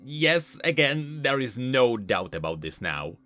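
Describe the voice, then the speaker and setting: Male host for game lore explainer: lively intonation, emphatic, faint music behind. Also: medium pitch, measured pace. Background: faint background music.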